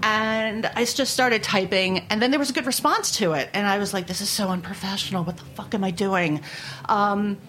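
A woman from Brooklyn, New York, talking candidly and conversationally as an interviewed baker, with animated, sweary storytelling. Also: mid-range voice, a little fast. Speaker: interviewed baker and food writer.

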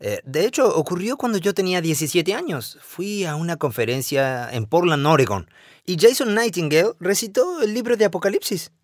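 A man's voice: high voice, normal speed, no room sound. Chatty podcast guy, voice jumps around a lot, super dry mic. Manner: lively, chatty, asks questions. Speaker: podcast co-host. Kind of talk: casual conversation.

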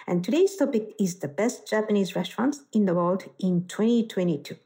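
Woman radio host, mellow low-key voice, laughs a lot, chatty. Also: lively intonation, a little fast. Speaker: podcast host. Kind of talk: radio talk show hosting.

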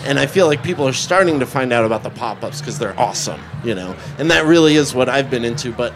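A man's voice: medium pitch, lively intonation, fast talker, music in the background. A man co-hosting a podcast, chatting casually in a laid-back, joking way full of fillers. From born in Connecticut. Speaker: podcast co-host. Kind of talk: casual chat.

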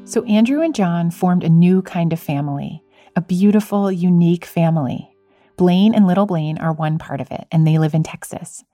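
A woman's voice: fairly low pitch, normal speed, mellow, no room sound, faint music behind. A woman hosting a podcast, moving between storytelling narration and conversational interviewing.

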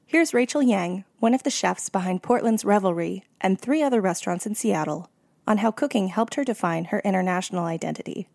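A woman hosting a food podcast, reading scripted narration in a steady delivery. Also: medium pitch, a little fast, vocal fry, no room sound, clean studio sound. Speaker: podcast host.

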